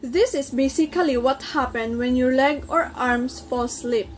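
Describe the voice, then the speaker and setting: A woman's voice: high-pitched, moderate pace, slight background noise, lightly acted. A woman playing an earnest circulatory system in amateur reader's theater, instructing and demonstrating with formal address.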